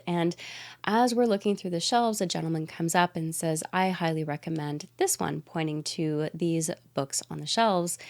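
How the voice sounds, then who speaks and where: A woman's voice: on the low side, darkish tone. A young woman from Canada hosting a casual book haul video, chatty and upbeat with frequent laughs, sounding a little congested from a mild cold. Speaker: booktube host.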